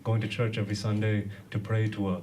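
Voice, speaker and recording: Deadpan seated man doing stand-up. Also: on the low side, quick pace, far from the mic. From Sri Lanka. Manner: dry, deadpan delivery with hesitant fillers. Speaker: stand-up comedian. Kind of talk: stand-up comedy set. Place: nightclub stage, seated, before a laughing audience. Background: audience laughter.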